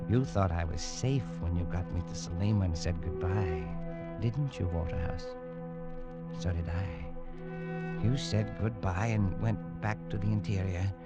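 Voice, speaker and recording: A haunted, low-voiced English adventurer. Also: male voice, lo-fi sound. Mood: grim, recounting. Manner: agitated, halting, stammering repetitions. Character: haunted, nervous English male adventurer telling his ordeal. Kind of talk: old-time radio drama acting. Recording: vintage radio recording, loud music behind.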